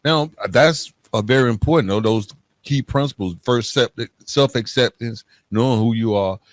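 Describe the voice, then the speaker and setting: A man's voice: medium pitch, moderate pace. A man hosting a podcast, interviewing conversationally and restating what his guest has said before asking more. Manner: echoes and restates the guest's points. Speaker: podcast host.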